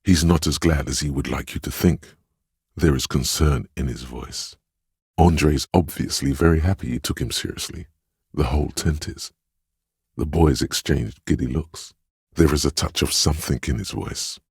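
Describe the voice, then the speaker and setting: A man playing the gentle, perceptive inner voice of empathy in a role-playing game, narrating in a measured, intimate, solemn and literary way. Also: no room sound, clean studio sound, exaggerated voice. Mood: tender, perceptive. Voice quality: very deep, gravelly, husky.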